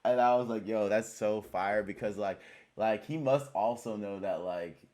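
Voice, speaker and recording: A man with a fairly low voice hosts a relaxed livestream interview. He talks in loose slang and laughs a lot as he chats. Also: normal speed. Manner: laid-back slangy talk, laughs often. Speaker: interview host.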